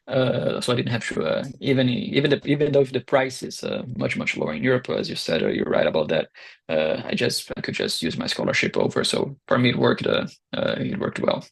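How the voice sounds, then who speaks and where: Young man, gravelly and lively. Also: mid-range voice. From Brazil. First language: Portuguese. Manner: hesitant, many pauses and restarts. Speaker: guest founder. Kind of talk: casual interview answers.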